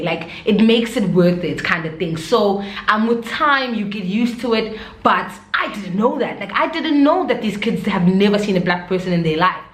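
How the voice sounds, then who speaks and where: South African woman chatting in an echoey room. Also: mid-range voice. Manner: animated, expressive storytelling with Zulu interjections. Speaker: vlogger, expatriate teacher. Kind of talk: casual chat to camera.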